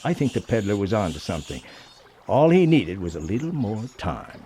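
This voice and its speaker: A man's voice: fairly low pitch, very animated, normal speed, heavy background noise. An actor playing an easygoing, teasing sidekick to a policeman in a radio play, with an informal delivery. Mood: teasing, playful.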